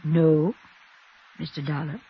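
Husky, creaky scheming old lady. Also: low voice, flat delivery, slow, heavily acted. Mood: coaxing, calculating. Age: older. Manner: genteel, protesting. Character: scheming wealthy old woman, feigning sweet innocence. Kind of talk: 1950s radio drama acting. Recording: dull, muffled 1950s radio recording.

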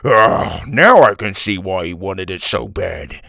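A big, bullying pirate crewman from an adventure audiobook, acted out by a male narrator. He is gruff and boisterous, throwing his weight around loudly. The sound is dry, with a gravelly edge. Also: fairly high pitch, lively intonation, a little slow, no room sound, voice pushed up, heavily acted. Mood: gleeful, bossy. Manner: loud, bossy, chuckling. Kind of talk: children's adventure audiobook character voice.

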